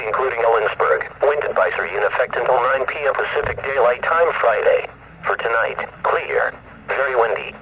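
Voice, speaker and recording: A computer-generated American man's voice reading a scripted weather bulletin over the radio in a formal, impersonal way with segmented phrasing. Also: mid-range voice. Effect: radio broadcast. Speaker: automated weather radio announcer. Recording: tinny band-limited weather radio, distant, humming noise.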